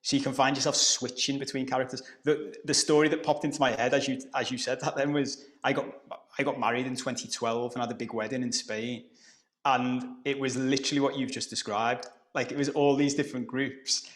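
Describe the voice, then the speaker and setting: A middle-aged man from Liverpool with a softened Scouse accent, explaining ideas as a coach on a podcast. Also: medium pitch, fairly even intonation, a little fast. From Liverpool, England. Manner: explanatory, conversational, occasional swearing. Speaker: guest coach. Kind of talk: podcast conversation.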